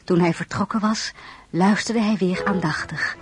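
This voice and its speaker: An actress playing a reflective young wife and mother, narrating her life in a measured, intimate way in a radio drama. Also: mid-range voice, lightly acted.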